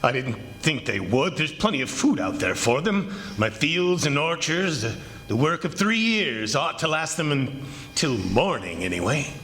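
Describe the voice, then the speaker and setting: A man's voice: on the high side, very animated, unhurried, fairly bright tone, a little hiss or hum. A man playing a defiant, confident plantation owner in 1940s radio drama style, barking orders emphatically. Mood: resolute. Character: defiant, commanding male plantation owner battling ants. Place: live stage performance at a college.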